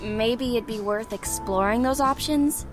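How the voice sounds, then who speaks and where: A woman voicing a shy, reserved young woman student in a visual novel, speaking quietly and hesitantly over game music. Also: fairly high pitch, measured pace, close to an everyday voice. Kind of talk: voiced visual novel dialogue.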